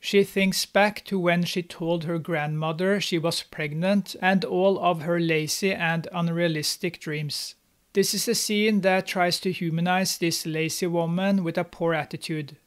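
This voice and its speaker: A young man with a fairly high voice narrates a film recap, steady and scripted. His tone stays snarky and mocking as he pokes fun at the characters. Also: measured pace. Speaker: movie recap host. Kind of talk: sarcastic movie recap narration. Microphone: studio mic, on camera.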